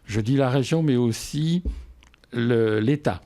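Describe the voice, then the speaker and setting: A man speaking as a local official in a radio interview, explaining things in measured, formal phrasing. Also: medium pitch, mellow.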